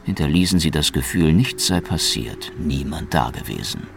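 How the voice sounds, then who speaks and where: Gravelly, deep-voiced, cynical secret policeman. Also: expressive, normal speed, background noise, heavily acted. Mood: wry, brooding. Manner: sardonic, world-weary. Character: cynical, hard-boiled male Soviet secret police officer. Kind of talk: German radio drama, first-person noir narration and dialogue. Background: music.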